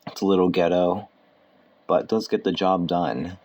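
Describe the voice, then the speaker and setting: A man casually presenting equipment as a hobbyist, in an informal, halting manner, correcting himself as he goes. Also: deep voice, steady tone, darkish tone. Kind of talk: casual hands-on demonstration. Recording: clear background noise.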